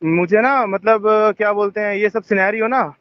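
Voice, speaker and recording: A man's voice: high-pitched, moderate pace, no room sound. A man on a phone call, talking in a rambling, defensive way with many fillers and restarts. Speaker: phone caller. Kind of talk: tense phone conversation. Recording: muffled phone call recording.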